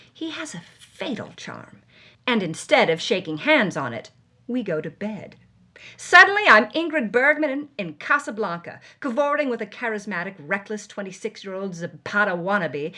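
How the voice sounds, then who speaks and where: A woman's voice: on the high side, pushed and bright, voice pushed up, exaggerated voice. A woman voicing a witty, adventurous actress who reads her own journal in a theatrical, wry, breathless way. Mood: swooning, wry. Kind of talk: dramatic journal narration.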